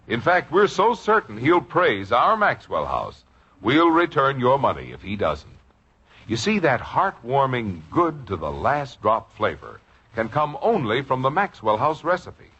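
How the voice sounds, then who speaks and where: A man's voice: medium pitch, wildly swooping pitch, unhurried, a bit bright, husky. A man announcing a 1950s radio commercial in an emphatic, persuasive manner. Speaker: radio announcer reading a sponsor's ad. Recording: dull vintage radio recording.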